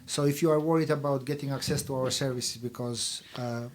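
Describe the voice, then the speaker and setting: A man whose first language is Albanian speaks at a middle pitch, answering interview questions with care. His delivery is measured and hesitant, with plenty of pauses and fillers as he explains the charity's work. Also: steady tone, slight background noise. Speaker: charity chief executive.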